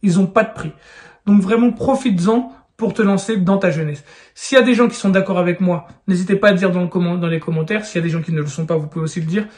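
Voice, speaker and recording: High-voiced man giving pushy advice. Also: quick pace, darkish tone. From France. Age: 30s. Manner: urgent, persuasive, addresses viewer informally. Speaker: real estate YouTuber. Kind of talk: direct-to-camera advice vlog.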